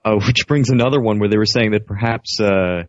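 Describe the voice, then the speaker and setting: A man's voice: on the low side, a little fast. A man from Canada calling a radio show over the internet, explaining things in a casual, polite, tentative way. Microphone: internet voice call. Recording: dull, lo-fi internet call audio.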